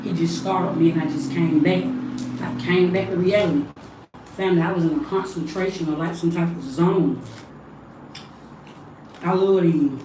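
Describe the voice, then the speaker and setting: Raspy, hoarse woman rambling on a livestream. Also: fairly low pitch, steady tone, moderate pace, pauses often, music in the background. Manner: loose, rambling, addresses viewers as family. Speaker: livestream spiritual reader. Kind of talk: casual livestream chat. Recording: echoey room, mic at a distance.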